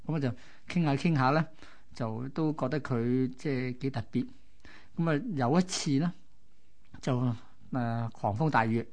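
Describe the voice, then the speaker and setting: Male radio co-host, Hong Kong accent, average pitch, colloquial storyteller explaining piece by piece. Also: normal speed, long pauses, darkish tone. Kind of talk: conversational storytelling.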